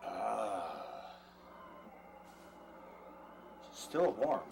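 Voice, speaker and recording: A man's voice: lowish voice, big pitch swings, very slow, vocal fry, full character voice. A man playing a jealous, menacing betrayed husband in an audio drama, sarcastic and needling. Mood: grumbling. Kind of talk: radio-play style dramatic acting. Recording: faint background noise.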